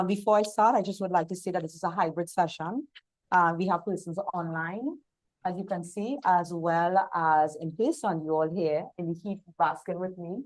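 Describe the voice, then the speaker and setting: Trinidadian woman, panel moderator, lowish voice, formal introductions. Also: rough recording. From Trinidad and Tobago. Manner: warm formal introductions with fillers. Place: outdoor hot venue, hybrid session.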